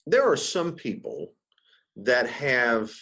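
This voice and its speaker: A man hosting a podcast interview in a casual, informal and somewhat halting, effusive conversational way. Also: mid-range voice, big pitch swings, a bit bright.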